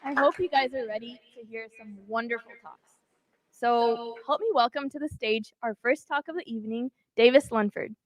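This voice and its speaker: A woman's voice: on the high side, normal speed, dull audio. A woman serving as master of ceremonies, introducing speakers in an upbeat announcing style. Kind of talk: stage announcing. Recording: loud background noise.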